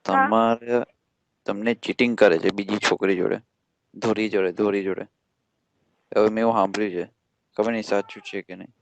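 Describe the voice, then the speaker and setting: A man's voice: on the low side, steady tone, normal speed, vocal fry, pauses often, no room sound. A man with a Gujarati accent improvising a prank call in slangy, colloquial speech. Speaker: prank caller. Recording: dull lo-fi dry sound.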